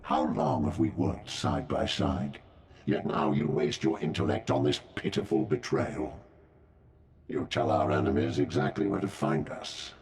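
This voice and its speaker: A man voicing a menacing, contemptuous droid leader in a video game, pompous and condescending in his deliberate speech. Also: low voice, big pitch swings, creaky, over loud music, full character voice. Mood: scornful. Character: menacing, contemptuous droid hive-mind leader, male villain. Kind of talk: video game villain acting.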